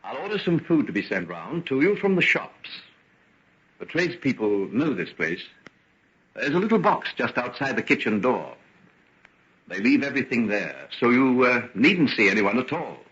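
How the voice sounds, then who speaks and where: An actor playing a genteel, courteous doctor with a soothing, guarded manner in a vintage radio drama. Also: male voice, medium pitch, big pitch swings. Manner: polite, measured, gently reassuring. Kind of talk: golden age radio drama acting. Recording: dull, noisy vintage radio recording.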